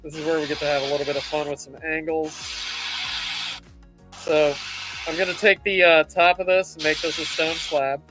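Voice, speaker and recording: A man's voice: fairly high pitch, little pitch movement, normal speed, rough recording. A man giving a casual, chatty live tutorial as a stream host, explaining things in an easygoing, informal way. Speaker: host of a livestream painting tutorial. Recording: music playing behind the voice. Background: music.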